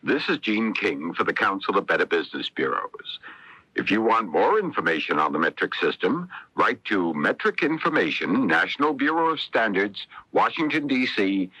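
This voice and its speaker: A man's voice: on the low side, big pitch swings, normal speed, pushed and bright, breathy edge, no room sound, poor audio. A man reading a scripted public service announcement in a 1970s radio style.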